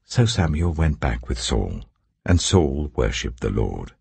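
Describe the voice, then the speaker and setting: An older man narrating scripture in a measured, expressive storytelling manner. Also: very deep voice, gravelly, slightly rough, dry recording. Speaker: scripture reader. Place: indoor wood-panelled study.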